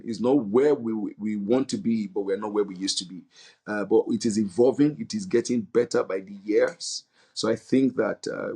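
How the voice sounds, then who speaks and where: A man's voice: lowish voice, darkish tone, slightly rough. A man with a Nigerian accent answering interview questions in an earnest, hesitant way, searching for words. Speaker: interview guest, non-profit leader.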